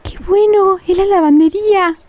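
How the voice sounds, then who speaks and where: A woman's voice: very high-pitched, steady tone, normal speed, narrow, tinny audio. A woman with an Argentine accent playing a hesitant, fretful young accomplice in a radio drama, doubtful in manner. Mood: excited.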